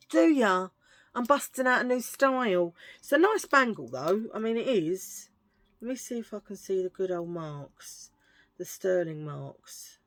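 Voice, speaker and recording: A middle-aged woman with a husky low voice, chatting in a rambling, self-mocking way while showing a thrift haul. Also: a bit bright, no echo. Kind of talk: casual chatty haul video.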